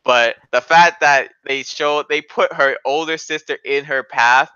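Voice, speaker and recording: A young man with a forceful, clear voice, arguing casually like he's among friends. He is slangy and opinionated. The recording is close and dry, though a little dull, like an online voice chat. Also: a little high, measured pace, dry recording. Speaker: podcast co-host.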